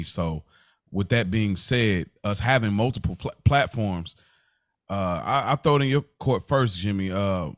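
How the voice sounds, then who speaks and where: A man hosts a casual podcast in a lowish voice. His talk is slangy and animated, recorded very dry with little room sound. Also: dry recording. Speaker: podcast host.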